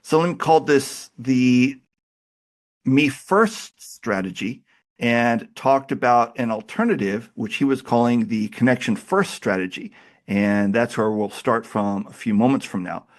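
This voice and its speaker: A man's voice: mid-range voice, unhurried. Chatty male podcast host with a cold. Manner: relaxed, chatty, self-deprecating. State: has a cold. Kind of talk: casual interview chat.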